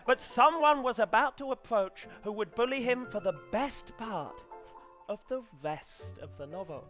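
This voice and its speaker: An English man in his thirties giving a live comedy reading as a writer, in a hesitant, earnest, deadpan manner. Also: very high voice. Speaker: comedian reading as an amateur novelist character. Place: small stage set indoors, before an audience. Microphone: headset.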